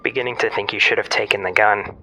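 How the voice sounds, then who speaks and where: An actor voices a flippant crewmate guiding a partner over comms in a sci-fi audio drama. The voice is bright and forward, and the delivery is breezy, casual and teasing. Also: mid-range voice, steady tone, fast, soft background music, close to an everyday voice, low-quality recording. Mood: dry.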